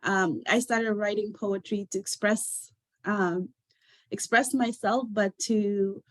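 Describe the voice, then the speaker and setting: A woman whose first language is Arabic, a poet reciting her own poem in a measured, earnest way over a video call. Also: medium pitch, fairly even intonation, no room sound. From Sudan. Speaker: poet guest.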